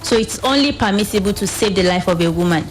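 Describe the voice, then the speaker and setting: A woman's voice: mid-range voice, expressive, fast talker, soft background music. A woman with a Nigerian accent explaining as a guest in a radio interview, somewhat hesitant. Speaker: reproductive health advocate, studio guest.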